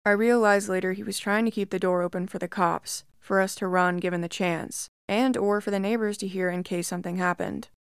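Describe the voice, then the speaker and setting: A woman narrating a first-person creepy story in a calm, measured storytelling voice. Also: mid-range voice, smooth, no echo, clean studio sound.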